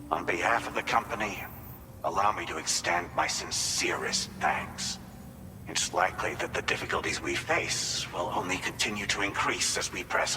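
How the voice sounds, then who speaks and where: A man playing a formal company leader in a video game, speaking in a measured, earnest and grateful way. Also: fairly low pitch, big-room sound, noisy background, close to an everyday voice. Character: formal male company leader addressing a courier. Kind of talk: video game cutscene acting.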